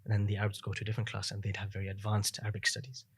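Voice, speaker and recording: A man in his thirties, a podcast guest, telling his story in a fast, reflective way with many fillers. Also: lowish voice, monotone, fairly bright tone, husky. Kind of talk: casual interview chat.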